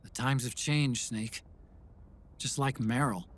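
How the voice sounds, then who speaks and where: A man's voice: medium pitch, steady tone, takes it slowly, a bit bright. An actor playing an earnest, brainy male tech expert in a video game, speaking in an explanatory way. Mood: earnest, concerned. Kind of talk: video game cutscene acting.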